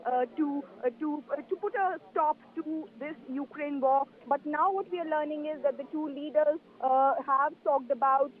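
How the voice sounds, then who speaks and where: High-pitched Indian woman reporting over phone-like line. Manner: halting, emphatic, wordy. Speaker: news correspondent. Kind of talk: live unscripted news report. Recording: thin telephone-like line.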